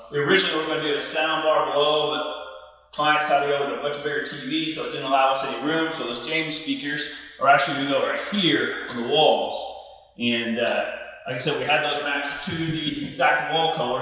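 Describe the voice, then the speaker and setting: Male installer, middle-aged, informal walkthrough delivery, slightly high bright voice, heavily echoing empty-house sound. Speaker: audio-video installer presenting a job-site walkthrough. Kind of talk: casual walkthrough explanation. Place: large unfinished house interior, hard floors. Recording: echoey empty rooms with long reverb.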